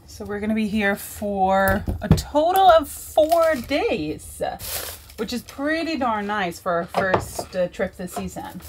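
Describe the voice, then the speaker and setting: A woman's voice: mid-range voice, animated, takes it slowly, noisy background. A woman, chatting casually to camera as a vlogger in a small boat cabin, rambling and correcting herself as she goes. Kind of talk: casual vlog chat to camera.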